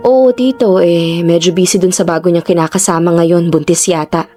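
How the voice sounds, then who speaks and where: A woman's voice: fairly low pitch, steady tone, normal speed, mellow, close to an everyday voice. A woman playing a weary, dutiful young daughter in a Tagalog radio drama. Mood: resigned. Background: music.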